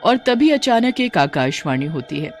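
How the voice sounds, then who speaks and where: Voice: mid-range voice, big pitch swings, a little slow, faint music behind. A narrator reading a scripted cartoon folk story in a dramatic storytelling manner.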